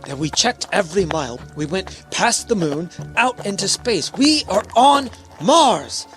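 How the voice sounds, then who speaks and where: A man's voice: high voice, expressive, measured pace. Man acting a stern spaceship captain, music underneath. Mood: disbelieving. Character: stern, skeptical spaceship captain, insistent on logic. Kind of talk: radio drama acting.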